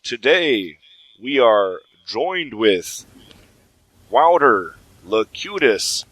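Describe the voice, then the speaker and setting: Slow-talking, joking podcast host, a man. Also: mid-range voice, very animated, fairly bright tone, dry recording, rough recording. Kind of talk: casual podcast hosting.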